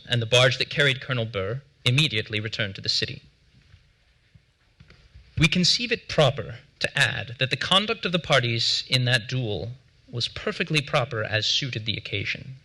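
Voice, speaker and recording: Bright, forceful actor as a formal duel second. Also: male voice, mid-range voice, poor audio. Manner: formal, declamatory, deliberate, crisply enunciated. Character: formal early American duel second, measured and grave, reading account. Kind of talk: theatrical dramatic reading of period documents. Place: theatre stage before a seated audience.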